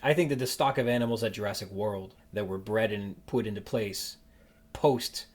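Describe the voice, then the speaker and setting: Musing, halting male podcast host. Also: lowish voice. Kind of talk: casual interview chat.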